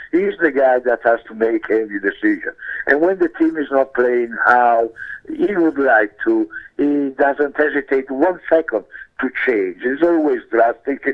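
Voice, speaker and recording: A man with an Italian accent and a hoarse voice, giving soccer commentary as a guest in a halting, repetitive way. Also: mid-range voice. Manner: halting, repeats words. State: hoarse, losing his voice. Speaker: guest soccer pundit and radio show host. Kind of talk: sports interview commentary. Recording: muffled narrow-band phone line.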